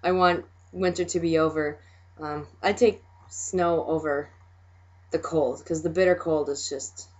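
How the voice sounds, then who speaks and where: A young woman from Minnesota with a Minnesota accent, hosting a casual video chat, chatty and laughing often between remarks. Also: fairly low pitch. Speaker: host. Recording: dull lo-fi sound.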